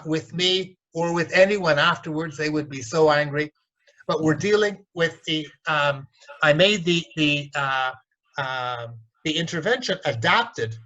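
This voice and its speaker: Blunt, animated man on a lo-fi call. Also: on the high side. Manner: blunt, animated, mimics voices in stories. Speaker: organisation development consultant. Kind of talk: candid interview storytelling.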